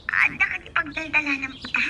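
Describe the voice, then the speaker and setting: A woman's voice: high voice, fairly quick, bright, forceful tone, slight background noise. An actress playing a lovelorn, concerned young woman in a radio drama. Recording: clear music behind the voice.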